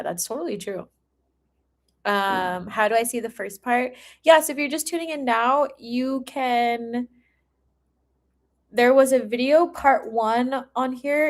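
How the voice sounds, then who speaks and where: A woman hosts a tarot livestream in a casual, talkative way, with a mid-pitched voice. She speaks informally and directly to her viewers, like someone chatting with friends. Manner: chatty, informal, often breaking into giggles. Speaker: tarot reader hosting a livestream.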